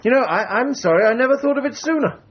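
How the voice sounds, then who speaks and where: An actor playing a high-handed Edwardian gentleman adventurer in a radio period drama, grandiloquent and teasing. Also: animated, fast, breathy edge, dull audio, exaggerated voice. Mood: teasing, breezy.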